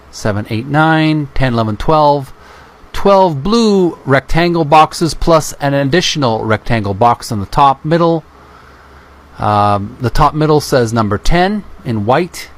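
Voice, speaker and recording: A man with a mid-pitched voice hosts an informal read-aloud. He moves between reading and descriptive commentary, often building sentences in halting pieces. Soft music plays behind him. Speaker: channel host reading and commenting on a book.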